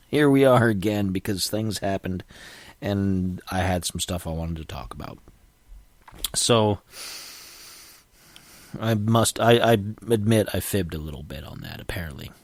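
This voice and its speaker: Low-voiced man with a cold. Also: no echo. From United States. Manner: rambling, self-deprecating, frequent restarts. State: has a cold, sniffly and coughing. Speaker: podcast host. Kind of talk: casual solo podcast monologue.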